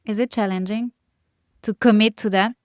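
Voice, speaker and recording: A woman's voice: medium pitch, steady tone, normal speed, no echo, studio quality. A woman hosting a conversation, asking curious, probing questions. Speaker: podcast host. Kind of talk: interview questions.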